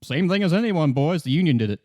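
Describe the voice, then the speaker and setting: A man's voice: on the high side, lively intonation, quick pace, warm tone, no echo, clean studio sound, pitched above the performer's own voice. A man voicing a defensive, bigoted truck driver in a tabletop game, blustering and dismissive as he complains.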